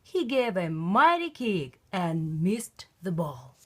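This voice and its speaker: A woman reading a children's picture book aloud in an expressive, emphatic storytelling style. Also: lowish voice, slow pace.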